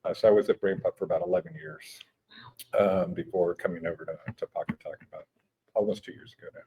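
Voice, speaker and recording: Man, husky, deep voice, native Spanish speaker, relaxed, halting explanations. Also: narrow, tinny audio. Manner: relaxed, explanatory, many hesitations and restarts. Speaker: guest, education technology company director. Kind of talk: conversational interview. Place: conference venue.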